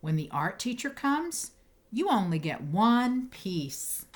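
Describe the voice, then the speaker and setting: A woman's voice: medium pitch, very animated, slow pace, pitched above the performer's own voice. A woman voicing a matter-of-fact older brother, a schoolboy in a storybook. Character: older brother, a boy who knows school. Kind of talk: storybook character voice.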